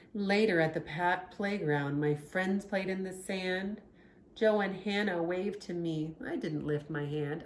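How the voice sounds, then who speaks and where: A woman hosting a storytime, reading a picture book aloud to children in an expressive storytelling style. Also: fairly low pitch. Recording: echoey room, faint background noise.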